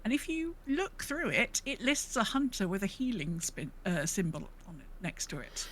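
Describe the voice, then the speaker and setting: A woman's voice: mid-range voice, animated, moderate pace, fairly bright tone. A woman co-hosting a podcast in a casual gaming discussion, chatty and tentative as she restates her points. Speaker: podcast co-host. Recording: faint background noise.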